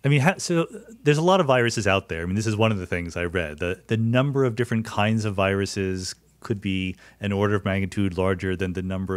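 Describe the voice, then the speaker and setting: A middle-aged man with a lowish voice hosts a podcast, asking curious, easygoing questions with fillers as he goes. The recording is close and dry, with no room sound around him. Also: dry recording. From United States. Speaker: podcast host. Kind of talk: conversational science interview.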